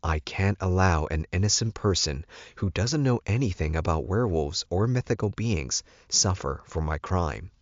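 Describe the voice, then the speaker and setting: A man's voice: deep voice, dry recording, dull audio. A man narrating a first-person story as a scripted audiobook reading.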